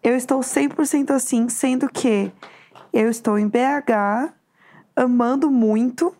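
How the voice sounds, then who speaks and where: A Brazilian woman co-hosting a casual podcast, hoarse from losing her voice, chatty and telling stories with acted-out quotes. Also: on the high side, a little slow, dark tone. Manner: chatty, storytelling, acts out quotes. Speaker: podcast co-host. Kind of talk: casual chat.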